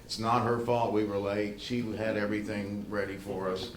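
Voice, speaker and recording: A man with a fairly low voice hosts a meeting in a roomy, echoing space. He talks informally and haltingly, doubling back on words. There is faint noise behind him. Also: little pitch movement. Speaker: event host. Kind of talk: informal spoken remarks to a conference room. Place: good-sized meeting room. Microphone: livestream microphone, not amplified in room.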